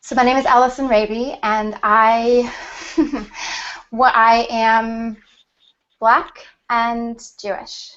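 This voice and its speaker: A woman hosting a panel on a video call, excitable and laughing, talking in quick bursts. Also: on the high side. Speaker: panel host. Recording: dull lo-fi video call audio.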